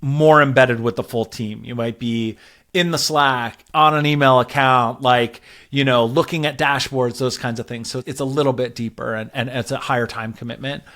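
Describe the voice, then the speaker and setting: Man, mid-pitched voice, casual podcast guest, explanatory, structured points, conversational fillers. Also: fairly even intonation, normal speed, fairly bright tone. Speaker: podcast guest, growth advisor.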